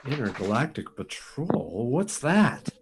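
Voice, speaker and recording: An actor playing a frantic, halting test pilot pleading to warn of doom in a sci-fi radio drama. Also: male voice, mid-range voice, big pitch swings, very noisy. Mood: dazed, awed. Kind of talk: live performance of 1950s sci-fi radio drama.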